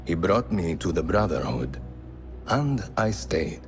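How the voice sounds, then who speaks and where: A grave, wise assassin mentor. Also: male voice, low voice, very animated, heavily acted. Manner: measured, grave and advising. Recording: game audio with music.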